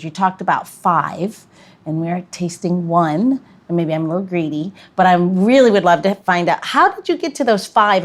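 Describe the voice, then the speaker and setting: Playful woman podcast host, lowish voice. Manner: playful, bantering. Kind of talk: casual podcast conversation.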